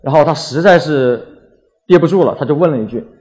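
A man's voice: a little high, animated, brisk, a little mellow. A young man from Wuhan giving a casual talk as a graffiti artist, colloquial and full of anecdotes, acting out little exchanges as he goes. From Wuhan, China.

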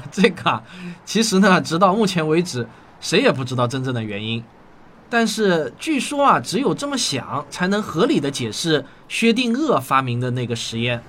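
An actor playing a kindly middle-aged father in a Chinese radio drama, explaining in drawn-out phrases. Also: fairly high pitch, very animated, a bit bright, a little hiss or hum. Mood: patient, explaining.

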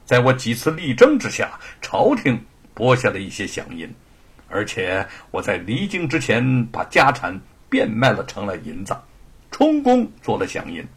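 Guy doing a confident scholar official from a historical tale, stirring, gravelly, big pitch swings. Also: wildly swooping pitch, moderate pace, heavily acted. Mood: calm assurance. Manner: earnest, stirring, formal. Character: resolute patriotic scholar-official rallying defenders, calm and confident. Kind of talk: dramatised Chinese historical storytelling.

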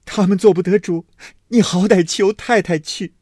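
Man doing a crying young maid, high n pleading, slightly husky. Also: steady tone, normal speed, darkish tone, no room sound, clean studio sound. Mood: begging. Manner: weeping, begging. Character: young maidservant being cast out, tearful and desperate.

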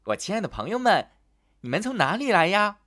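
Male narrator's bragging straw figure: high, loud, quick. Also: big pitch swings, heavily acted. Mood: eager. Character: proud bossy male wheat straw, boastful leader.

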